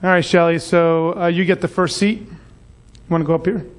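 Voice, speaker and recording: High-voiced enthusiastic male event host. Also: little pitch movement, normal speed. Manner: enthusiastic, lots of "uh" fillers. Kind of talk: introducing and interviewing a guest.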